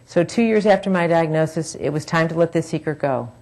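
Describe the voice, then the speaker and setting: A woman's voice: deep voice, brisk, darkish tone. A woman in her forties reading a frank, earnest personal talk at a public forum, with wry asides.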